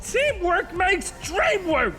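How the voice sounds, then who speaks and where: A woman's voice: extremely high pitch, sing-song, slow pace, over music, heavily acted. A woman playing an eager, oblivious crypt minion in a comic horror audio drama, chirpy and blithely unbothered. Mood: chipper, oblivious.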